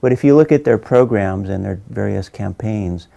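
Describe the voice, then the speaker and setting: A man explains in a measured interview how the military targets young people. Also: fairly low pitch, vocal fry.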